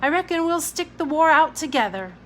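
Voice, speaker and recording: A woman's voice: high voice, expressive, brisk, slight background noise, pitched above the performer's own voice. A woman reading a storybook, voicing a protective, disapproving working-class mother who is plain-spoken and emphatic. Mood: resolute.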